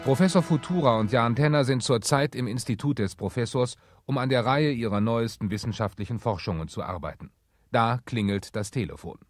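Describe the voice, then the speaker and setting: A man's voice: mid-range voice, fairly quick, over music, close to an everyday voice. A man narrating a children's adventure radio play from a script. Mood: measured. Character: male narrator of adventure story.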